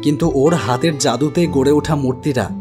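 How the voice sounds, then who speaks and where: A man playing an earnest, uneasy protagonist who narrates his own story in an animated horror drama. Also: medium pitch, normal speed, natural voice. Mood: reflective storytelling. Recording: music under the voice. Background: background music.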